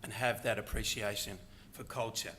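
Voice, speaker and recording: A man's voice: on the low side, fairly even intonation, moderate pace, bright, forceful tone, slight background noise. An older man speaking earnestly and reflectively at a conference panel, delivering a prepared talk. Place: conference hall.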